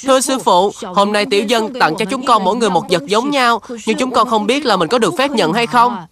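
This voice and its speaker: Woman as a high-voiced, polite boy monk. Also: lively intonation, fairly quick, crowd noise, close to an everyday voice. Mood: respectful. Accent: Southern Vietnamese. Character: polite, responsible boy novice monk, the elder brother. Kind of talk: Vietnamese cartoon dubbing.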